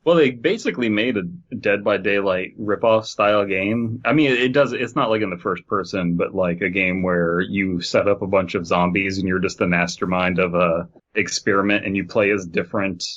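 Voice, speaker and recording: A man's voice: low voice, moderate pace, hardly any pauses, a bit bright, lo-fi sound. A man co-hosting a casual, opinionated discussion podcast, talking in a rambling, explanatory way. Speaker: podcast co-host.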